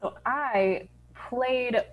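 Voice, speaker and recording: A woman's voice: fairly high pitch, extremely slow, muffled recording. A woman, a voice actress, answering questions casually and modestly on a video call. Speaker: voice actress being interviewed. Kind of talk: casual interview chat. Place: indoors on a group video call.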